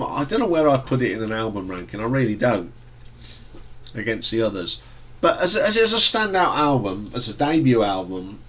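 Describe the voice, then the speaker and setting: A man with a stuffy nose from hay fever, casually reviewing an album in a relaxed, rambling way with fillers and restarts. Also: mid-range voice. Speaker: music reviewer.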